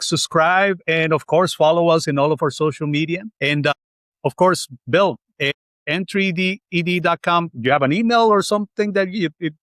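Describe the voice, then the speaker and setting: A man's voice: fairly high pitch, a bit bright, no echo. Middle-aged guy from colombia hosting a podcast, rambly, lots of uh and restarts, dry close sound. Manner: rambling, restarts, many fillers. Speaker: podcast host. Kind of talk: interview conversation.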